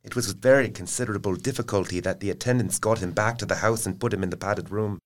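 An actor playing a dutiful asylum doctor, reading out a formal report in an orderly, matter-of-fact way for an audio drama. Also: male voice, on the low side, quick pace, lightly acted. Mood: composed.

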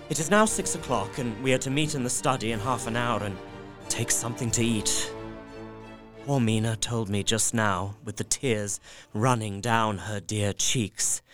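A man's voice: medium pitch, a bit bright. A man playing an anxious young husband. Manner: earnest, worried, emotional. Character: anxious young Victorian husband writing his journal. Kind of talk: radio drama journal narration. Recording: faint music behind.

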